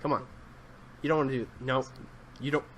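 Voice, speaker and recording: A man's voice: medium pitch, fairly even intonation, a little fast. A young man hosting a Minecraft series in casual chat, playing a bossy, exasperated detective with lots of ums and uhs. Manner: filler-heavy, exasperated bossy detective act. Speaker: channel host and Minecraft roleplayer. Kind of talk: casual let's-play chat and improvised roleplay.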